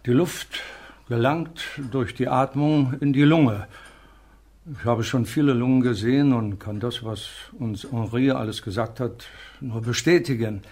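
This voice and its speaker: An actor playing an older village butcher in a German radio play, speaking in an earnest, deliberate way. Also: male voice, mid-range voice, darkish tone. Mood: earnest, solemn.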